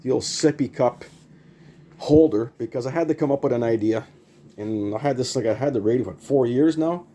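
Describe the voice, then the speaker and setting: A man's voice: mid-range voice, fairly quick, mellow. An older man giving a casual, unscripted hands-on demonstration in a rambling, repetitive, plain-spoken way, like a hobbyist showing off a homemade project. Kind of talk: casual unscripted show-and-tell.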